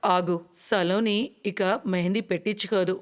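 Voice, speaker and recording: A woman's voice: medium pitch, fairly even intonation, a little slow, natural voice. A woman playing a caring middle-aged mother-in-law, firm and kindly, in a cartoon story. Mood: firm concern.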